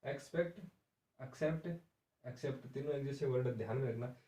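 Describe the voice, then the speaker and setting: A man's voice: medium pitch, fairly quick, warm tone, far from the mic. Man teaching in an echoey room. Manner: explains patiently, repeats words and spells them out letter by letter. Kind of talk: exam-prep vocabulary lesson. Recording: echoey room, voice somewhat off-mic.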